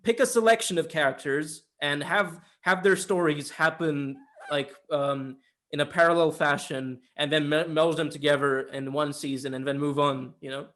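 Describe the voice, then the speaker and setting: A man's voice: on the high side, fairly even intonation, moderate pace, a bit bright. A man chatting casually as a co-host about films, giving his opinions in tentative, conversational phrasing. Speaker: podcast co-host. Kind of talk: casual film discussion chat.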